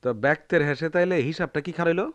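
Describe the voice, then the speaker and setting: An actor playing a bullying, aggrieved village husband with a rural Bangladeshi accent, scolding and taunting in a radio drama style. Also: male voice, fairly high pitch, steady tone, very fast, no room sound, muffled recording, natural voice. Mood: sarcastic, accusing. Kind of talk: Bengali radio drama acting.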